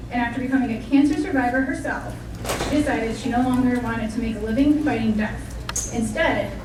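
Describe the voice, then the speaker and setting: A woman, the event host, reading an enthusiastic introduction of the speaker. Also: on the high side. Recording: echoey hall, distant mic, background noise.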